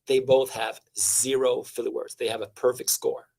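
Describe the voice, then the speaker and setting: A man from Canada speaks as an interview guest, his voice fairly high and full of energy. He talks in an animated, halting way, restarting phrases and dropping in fillers as he goes. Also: creaky, rough recording. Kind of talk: casual interview talk.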